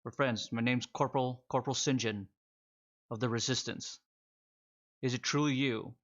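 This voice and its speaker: A man's voice: mid-range voice, steady tone, moderate pace, a bit bright, dull audio, close to an everyday voice. A man voicing a young male resistance corporal in his twenties, stammering and reverent. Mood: awed, earnest. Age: 20s.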